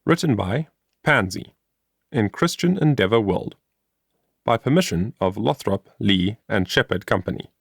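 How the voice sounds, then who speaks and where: A man narrating a scripted story as an audiobook reader, with expressive, carefully enunciated delivery. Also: fairly low pitch, measured pace, vocal fry, no echo, clean studio sound.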